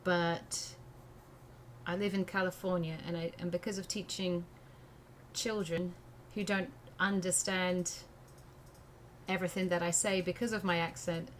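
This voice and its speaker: A woman's voice: lowish voice, level tone, measured pace. English-American accented woman, noisy background. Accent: English blended with American. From England. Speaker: art livestream host. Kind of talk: casual live craft tutorial, thinking aloud. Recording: noticeable background noise.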